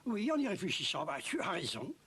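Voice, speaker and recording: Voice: medium pitch, huge pitch swings, a little fast, a bit bright, slightly rough, full character voice. An actor voicing a doting, anxious old carpenter father in a children's cartoon, fretful and full of exclamations. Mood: fond, earnest. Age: older. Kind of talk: dubbed children's cartoon acting.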